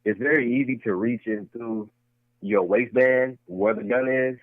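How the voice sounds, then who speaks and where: Casual male podcast co-host, insistent and repetitive, tinny dry lo-fi sound, mid pitch. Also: a little slow, no room sound. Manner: repetitive, argumentative, restarts points. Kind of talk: casual debate chat.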